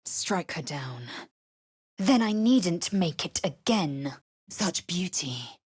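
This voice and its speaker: An actress playing a fierce, haughty young female alien warrior in a video game, with a raspy voice and clipped, imperious, disdainful delivery. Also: on the low side, expressive, takes it slowly, a little mellow, heavily acted. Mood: terse, scornful. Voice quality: raspy, husky. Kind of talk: video game character acting.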